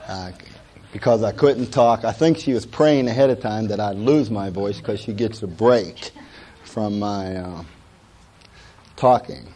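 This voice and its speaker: A hoarse middle-aged man preaching from the pulpit, his voice worn down by a cold. His tone is dark and mellow. He talks in a folksy way, full of asides and "uh" fillers. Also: on the low side, unhurried, a little hiss or hum. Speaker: pastor. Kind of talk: sermon.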